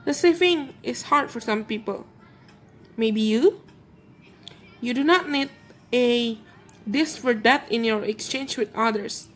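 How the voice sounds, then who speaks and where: Gentle woman card reader. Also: a little high, takes it slowly, long pauses, faint music behind. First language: Indonesian. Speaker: tarot and oracle card reader. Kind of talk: channeled card reading for viewers.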